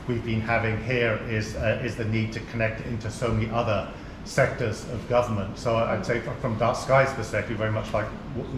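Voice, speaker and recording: English-born man with a half-American accent. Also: lowish voice. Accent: mixed English and American. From England. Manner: friendly, laughs often, stumbles over repeated words. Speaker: nonprofit chief executive. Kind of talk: remote conference remarks. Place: community hall, heard over a video link. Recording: echoey hall with background noise.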